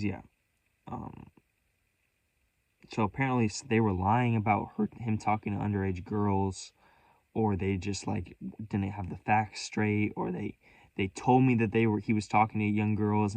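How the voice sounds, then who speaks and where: A man's voice: lowish voice, darkish tone, no room sound. A man telling a rambling story in a vlog, profane and scattered, restarting sentences and commenting on his own spiraling thoughts. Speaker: vlogger.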